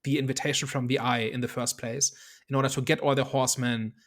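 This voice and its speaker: A man's voice: medium pitch, little pitch movement, fast, fairly bright tone. Male podcast host, casual analytical film talk, mid-range voice. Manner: analytical, thinks aloud with hedges. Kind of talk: casual movie review chat.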